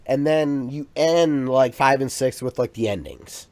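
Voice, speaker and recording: A man's voice: mid-range voice, a little slow, darkish tone, dry recording. A man co-hosting a podcast in casual chat, rambling along with plenty of restarts and fillers. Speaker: podcast co-host. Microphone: USB microphone.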